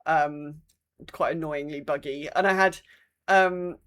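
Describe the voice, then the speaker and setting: A woman's voice: deep voice, steady tone, slow, fairly bright tone. A woman co-hosting a casual gaming chat podcast, griping bluntly in an exasperated, sweary way. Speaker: podcast co-host. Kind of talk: casual chat about a video game.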